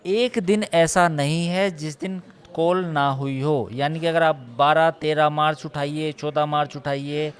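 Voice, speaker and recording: Emphatic man, somewhat high-pitched, analysing news. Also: steady tone, a little slow, clear, resonant tone. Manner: emphatic, repeats points and lists figures. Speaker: news reporter analysing a case. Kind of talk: live news discussion, unscripted analysis.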